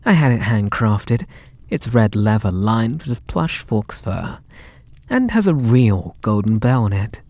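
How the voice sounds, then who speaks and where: An actor with a British accent playing a possessive, lovestruck kidnapper in an ASMR roleplay, sweet and doting in a theatrical, intimate way. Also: male voice, lowish voice, very animated, slow pace, a little mellow, full character voice. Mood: doting, excited. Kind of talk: ASMR roleplay audio drama.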